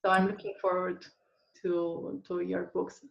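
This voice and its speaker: A woman interviewing a guest over a video call, speaking gently and hesitantly with frequent pauses to gather her words. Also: on the low side, flat delivery, gravelly. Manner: gentle, hesitant, many uh fillers. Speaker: interviewer host. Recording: roomy, dull video call audio.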